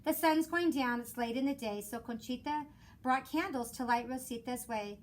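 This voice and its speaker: A woman who teaches elementary school reads aloud expressively for children in a measured storytelling way. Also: high-pitched. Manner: measured storytelling with rhymed cadence. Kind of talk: expressive children's picture book read-aloud. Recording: clear background noise.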